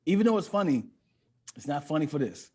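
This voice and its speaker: A man's voice: fairly high pitch, very animated, fairly quick, darkish tone. A man talking as a comedian guest on a podcast, casual and profane, often repeating words as he finds his way into a sentence.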